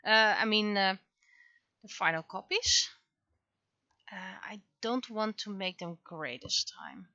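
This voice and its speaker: Slow, halting woman streamer, bright voice. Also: medium pitch, expressive, no room sound, dull audio. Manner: halting, thinking aloud, talking to herself. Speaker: livestreaming webcomic artist. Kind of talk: casual livestream chat while working.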